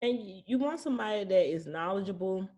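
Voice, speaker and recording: A woman's voice: mid-range voice, lively intonation, brisk, mellow. A woman speaking as a guest property manager, explaining conversationally with anecdotes in a plain-spoken, folksy way.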